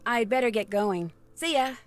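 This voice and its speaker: A woman's voice: fairly high pitch, moderate pace, slight background noise, natural voice. An actress playing a plucky, curious young woman detective in a video game, matter-of-fact and spirited. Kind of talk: video game character dialogue.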